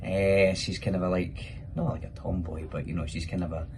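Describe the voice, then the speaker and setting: Casting: Scottish male actor, deep voice, casual and enthusiastic Q&A chat, noticeable background noise. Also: level tone, brisk. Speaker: pantomime actor. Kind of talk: casual spoken question-and-answer for children.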